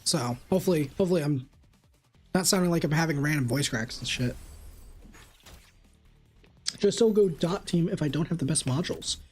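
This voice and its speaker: Husky-voiced streamer answering chat questions. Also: male voice, on the high side, soft background music. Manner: animated, reads chat questions and answers quickly. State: slightly raspy voice. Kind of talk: live-stream Q&A.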